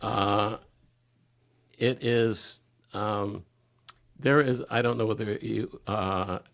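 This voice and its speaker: A man speaking candidly as a podcast guest, halting and searching for his words. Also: on the low side, fairly even intonation, creaky, a bit bright. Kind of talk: candid personal conversation.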